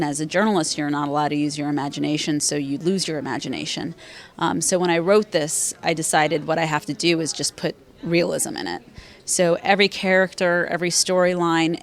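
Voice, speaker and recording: A woman in her thirties, a former journalist and author, answering interview questions in a measured, thoughtful way with frequent fillers. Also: on the low side. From Afghanistan, raised in Virginia, USA.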